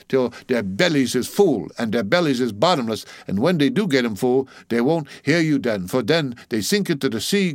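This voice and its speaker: A man's voice: dry recording, clean studio sound, close to an everyday voice. A man voicing a sulky ship's cook of about ninety, muttering testily in dialect, in an audiobook story. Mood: gloomy preaching. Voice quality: shrill when raised. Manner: muttering, testy, dialect speech.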